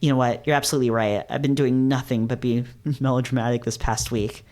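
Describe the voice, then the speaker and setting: An anxious young male fox from a visual novel, acted out by a man, pours out self-loathing in an agitated, melodramatic way. The voice is mid-pitched and overwrought, always putting himself down. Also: steady tone, quick pace, a little mellow, natural voice. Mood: frantic outburst. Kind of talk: dramatic reading of a visual novel.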